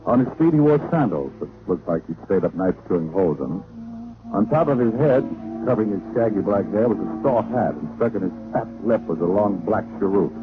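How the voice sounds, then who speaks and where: Man acting a hard-boiled detective, low voice, wry and cool, vintage radio noir, tinny sound. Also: animated, a little fast, over music, close to an everyday voice. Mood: wry narration. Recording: noisy narrow-band old radio recording.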